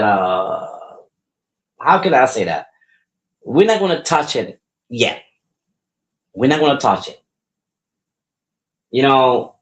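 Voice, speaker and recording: A man's voice: medium pitch, low-quality recording. A man in his forties hosting a podcast, talking casually and upbeat, often repeating his words as he rambles. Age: 40s. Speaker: podcast host and wrestler. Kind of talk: casual solo podcast talk.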